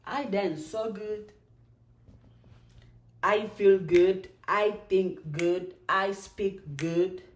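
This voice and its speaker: A woman hosting a motivational live stream, chanting affirmations and answering questions in a slow, repetitive way. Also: medium pitch, slight background noise. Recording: echoey room.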